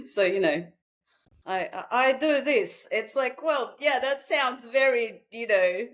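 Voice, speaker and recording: A woman's voice: a little high, expressive, a bit bright. Woman, thinking aloud. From Russia. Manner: halting, thinking aloud, frequently laughing. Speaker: psychotherapist guest. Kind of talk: unscripted reflective conversation.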